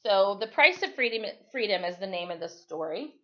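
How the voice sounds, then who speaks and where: Woman, American accent, medium pitch, teacher reading to students, explanatory asides, frequent self-corrections, muffled computer mic. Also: expressive, moderate pace. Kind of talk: informal read-aloud lesson with explanatory asides. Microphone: computer microphone. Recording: dull, lo-fi computer microphone.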